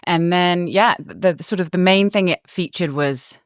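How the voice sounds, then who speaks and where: Woman, low pitch, chatty, fillers and laughs, dry close mic. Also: normal speed, no room sound. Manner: chatty, laughs often, many fillers and restarts. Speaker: guest reporter. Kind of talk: casual podcast conversation.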